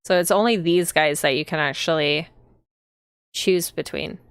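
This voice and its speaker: Female streamer, lowish smooth voice, dry close sound, casual chat with viewers. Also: moderate pace, dry recording. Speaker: streamer and host.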